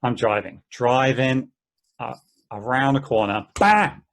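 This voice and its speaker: A man reading the part of an excitable, exasperated guy recounting mishaps in casual conversation at an amateur play table read. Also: mid-range voice, slow, a little hiss or hum. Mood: agitated.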